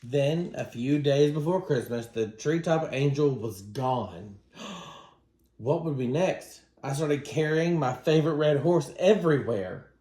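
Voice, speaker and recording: A man with a somewhat high voice reads a children's storybook aloud. He sounds like a teacher, phrasing it dramatically for young listeners. The recording is noticeably roomy and echoing. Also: animated, slow pace, mellow. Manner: dramatic, acts out dialogue. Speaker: teacher reading to students.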